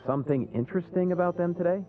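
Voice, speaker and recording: Guy doing breezy young game villager, high for a man, teasing, music under. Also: fast, phone-quality sound, close to an everyday voice. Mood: friendly teasing. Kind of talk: video game voice acting.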